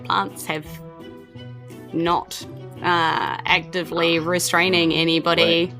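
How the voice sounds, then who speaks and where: A woman's voice: on the low side, little pitch movement, slow pace, vocal fry, music in the background. A woman with a New Zealand accent narrating as game master in a chatty, laughing way.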